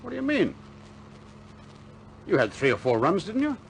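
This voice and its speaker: A man's voice: on the high side, huge pitch swings, moderate pace, vocal fry, a little hiss or hum, full character voice. An actor playing a plucky, stubborn young RAF bomber airman in a 1950s British war film, blunt and informal in manner. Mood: breezy, frank.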